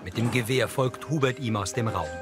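A man's voice: mid-range voice, a little fast. A man reading scripted scene narration as an audio describer for a television crime show. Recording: music behind the voice.

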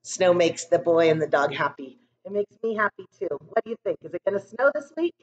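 A woman hosting a read-aloud for young children, reading a picture book expressively and deliberately with chatty asides. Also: lowish voice, animated, lo-fi sound. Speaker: school read-aloud host.

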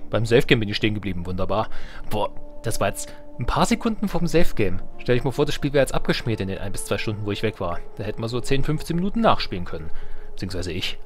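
A man with a fairly low voice talks his way through a video game as a let's play host. He thinks aloud and mutters to himself in a casual way. There is noticeable background noise with game ambience behind him. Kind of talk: casual gameplay commentary. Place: indoors, voice-over on game capture.

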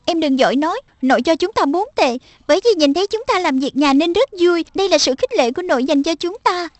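A woman's voice: extremely high pitch, animated, fast talker, no room sound. A woman voicing an assertive, coaxing schoolgirl elder sister in a dubbed children's cartoon.

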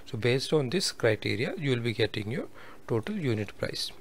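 A man's voice: medium pitch, big pitch swings, normal speed, a little hiss or hum. A man giving a step-by-step technical tutorial over a screen recording, explaining methodically with worked examples. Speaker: instructor.

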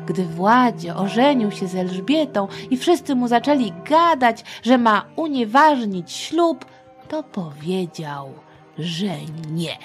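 Female storyteller, middle-aged, informal and playful, unhurried, mid pitch, children's history podcast with faint music. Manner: playful, colloquial, expressive.

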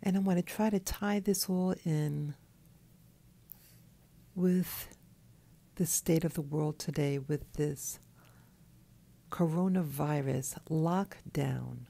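A woman who has just woken up, talking in a soft, hoarse, half-whispered voice from her bed, slow and halting, with a clip-on mic. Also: low voice. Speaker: channel host. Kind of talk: early morning monologue. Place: in bed at night.

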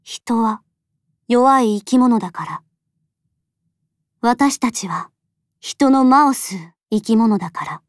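A woman playing a solemn, resolute fox deity in an audio drama, speaking in a measured, deliberate way with sorrow underneath. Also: a little high, expressive, no echo, studio quality. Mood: sorrowful, tender. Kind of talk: Japanese voice drama acting.

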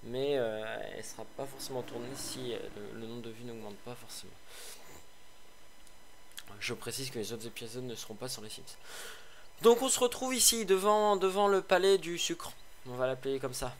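Male game reviewer, slightly hoarse, rambling and sarcastic, mid-pitched, light background noise. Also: lively intonation, normal speed. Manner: chatty, rambling, many fillers and restarts; sarcastic asides. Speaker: gaming video host. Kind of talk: casual game review commentary.

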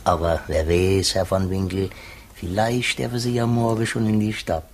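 An actor playing a good-natured, simple prison warder in a radio drama, talking in a halting, folksy, confiding way. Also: male voice, sits low, level tone, a little hiss or hum, close to an everyday voice. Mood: friendly.

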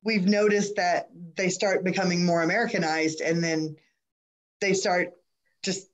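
An older woman, a Spanish teacher, chatting on a video call in a quick, lively way. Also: lowish voice. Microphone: laptop webcam video call.

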